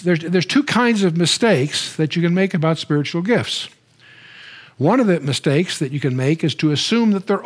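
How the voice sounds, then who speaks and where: Older male Bible teacher, slightly high voice, rambling lecture style, studio lapel mic. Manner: conversational, with frequent restarts and wry asides. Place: studio stage, standing at a lectern.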